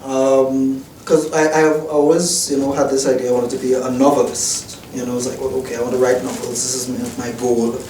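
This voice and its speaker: A man's voice: mid-range voice, flat delivery, fairly quick, hardly any pauses, mellow. A young man, a newspaper reporter, giving hesitant, reflective interview answers full of restarts and "you know" fillers in an office. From Trinidad and Tobago. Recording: roomy, distant mic, faint noise.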